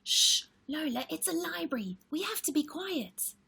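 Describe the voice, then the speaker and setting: A woman voicing a sensible older boy who gently scolds his little sister in a storybook read-aloud. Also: high-pitched, big pitch swings, normal speed, gravelly, slight background noise, voice pushed up, exaggerated voice. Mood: firm. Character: patient older boy, sensible big brother.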